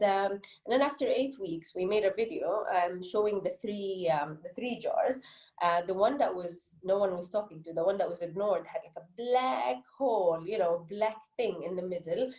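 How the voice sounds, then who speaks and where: A woman's voice: mid-range voice, mellow. A woman with an Egyptian accent giving conversational interview answers as an author, telling stories in an earnest, reflective way. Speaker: interviewed children's book author. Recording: roomy, echoey sound.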